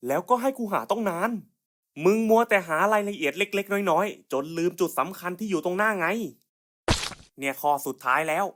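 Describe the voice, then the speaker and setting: A man's voice: high voice, expressive, normal speed, fairly bright tone. A man voicing scripted cartoon puzzle skits in casual, slangy, coarse buddy-style banter.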